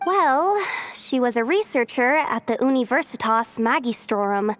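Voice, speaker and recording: High-voiced eager young woman, played by an actress. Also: normal speed, no echo, close to an everyday voice. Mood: earnest. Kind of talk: video game voice acting.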